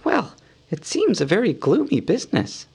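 A small fussy hobbit, dazed and aching after a blow to the head. The voice sits very high, thin and breathless, with a gravelly edge under it. It swings widely in pitch, as in a storybook reading. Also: male voice, huge pitch swings, normal speed, darkish tone, heavily acted. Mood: weary, wry. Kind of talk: audiobook character voice.

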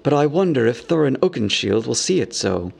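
A man's voice: mid-range voice, big pitch swings, a little fast, creaky, a little mellow, slightly rough, exaggerated voice. A man voicing a dignified, wise male Elven King in a storybook, speaking in a stately, formal way. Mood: solemn, admiring. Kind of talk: storybook character voice.

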